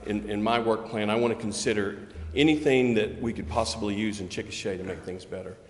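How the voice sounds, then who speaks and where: A man explaining things step by step in measured remarks as a local development official at a public meeting. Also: lowish voice, steady tone, slight background noise. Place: city council chamber. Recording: echoey reverberant meeting chamber.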